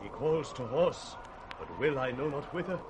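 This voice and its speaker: Worried lord played by a man, grave, middle-pitched, vintage Shakespearean radio with clear background noise. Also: sing-song, moderate pace, close to an everyday voice. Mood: anxious. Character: worried loyal nobleman, grave and troubled. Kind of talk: 1960s British radio Shakespeare acting. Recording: noisy vintage radio recording.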